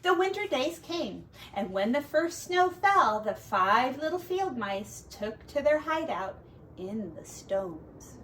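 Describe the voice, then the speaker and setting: A woman teacher reads a picture book aloud in a highish, very lively voice. Her phrasing is slow and deliberate, made for children, and she sounds a bit away from the mic. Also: distant mic, slight background noise. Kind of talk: storybook read-aloud with casual asides on pictures.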